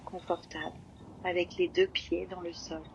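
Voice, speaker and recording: A woman teaching yoga nidra, leading a guided relaxation slowly, with long pauses between phrases. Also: lowish voice, creaky, dull audio. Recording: loud steady ocean-wave noise behind voice. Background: sound of sea waves.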